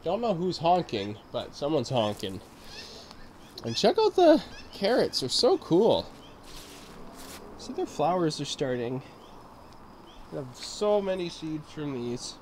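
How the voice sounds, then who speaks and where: A young man with a stuffed-up voice from a cold, giving a relaxed, rambling tour of his backyard garden on a handheld camera. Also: fairly high pitch, slow, long pauses, slight background noise. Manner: relaxed, rambling, easily amazed. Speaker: gardening channel host. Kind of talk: casual garden tour vlog. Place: backyard garden outdoors at dusk. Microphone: handheld camera mic, filming himself walking.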